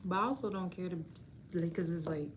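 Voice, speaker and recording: A woman in her thirties chatting casually with viewers on a livestream, warm and talkative with frequent laughs, explaining beauty school and her outlook. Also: on the low side, brisk, slight background noise. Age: 30s. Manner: chatty, laughs often, calls viewers baby.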